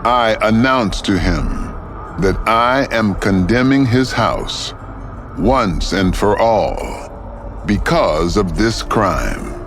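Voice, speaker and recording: A stern divine voice makes grave pronouncements at a very slow, deliberate pace. His tone sits low, and music plays clearly behind him in this audio drama. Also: animated. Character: the Lord, divine voice, stern and commanding.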